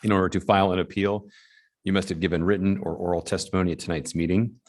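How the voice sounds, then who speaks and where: Male committee chair, deep voice, formal and procedural delivery. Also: steady tone, fast, a little mellow. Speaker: commission chair running a public hearing. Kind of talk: formal meeting procedure, partly read out.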